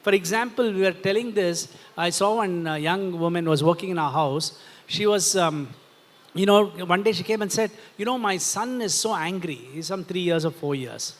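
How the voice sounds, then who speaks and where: A man's voice: high-pitched, moderate pace. A man with an Indian accent explains ideas in a didactic way as a panel speaker at a festival discussion, checking that listeners follow. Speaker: panel speaker, philosopher and author. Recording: reverberant hall sound.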